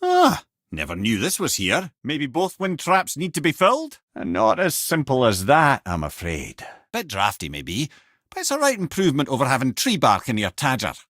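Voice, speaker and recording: A man's voice: medium pitch, wildly swooping pitch, moderate pace, fairly bright tone, dry recording, studio quality. A man playing a wry, worldly-wise old storytelling sage with a Scottish accent in a video game. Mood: conversational, then wry, teasing. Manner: wry, lilting, theatrical storyteller. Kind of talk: video game character acting, storytelling and banter.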